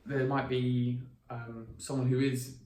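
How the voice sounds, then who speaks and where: A man's voice: medium pitch, level tone, takes it slowly, a little mellow, slight background noise. A man of twenty, co-hosting a podcast in casual chat, rambling with many restarts and fillers. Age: 20s. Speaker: podcast co-host. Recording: echoey room, mic at a distance, faint ambience.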